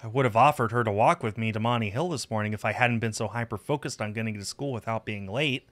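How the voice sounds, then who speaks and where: A man's voice: on the low side, fast talker, fairly bright tone. A man streaming a visual novel, reading the narration aloud and adding casual asides. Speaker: game streamer. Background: music.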